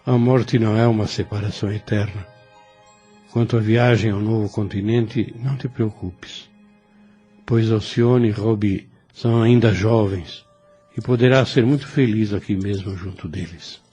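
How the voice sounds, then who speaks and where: An actor playing a gentle, devout, dying old priest who speaks slowly and tenderly in an audio novel. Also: male voice, fairly low pitch, steady tone, slightly rough, dull audio, soft background music. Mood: gently consoling. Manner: slow, halting, tender speech. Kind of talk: religious audio novel acting.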